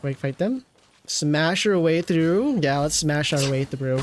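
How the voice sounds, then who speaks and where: A man's voice: fairly high pitch, animated, takes it slowly. A man giving casual live game commentary as a streamer, wry and often muttering to himself. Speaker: gaming streamer.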